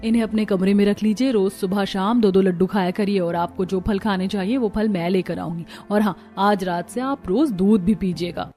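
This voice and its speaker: A woman's voice: mid-range voice, normal speed, few pauses, warm tone, soft background music, close to an everyday voice. Caring young sister-in-law, played by a woman. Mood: caring, coaxing.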